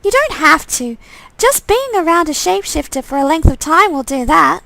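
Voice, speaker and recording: Woman voicing a very high, jealous shapeshifter sweetheart. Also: very animated, normal speed, creaky, sharp tone, no echo, full character voice. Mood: jealous, probing.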